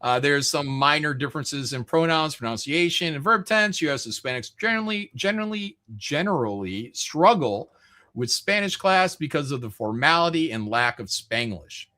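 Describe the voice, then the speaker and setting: A man's voice: a little high, expressive, moderate pace, bright, forceful tone. A man hosting a livestream, chatting casually and reading out viewer comments in an irreverent, joking, profane way. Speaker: livestream host.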